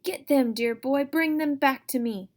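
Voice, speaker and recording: A woman puts on a wicked old conjure woman from a spooky storybook. She barks shrill orders in rough, folksy speech. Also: fairly quick, lightly acted. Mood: shrieking orders.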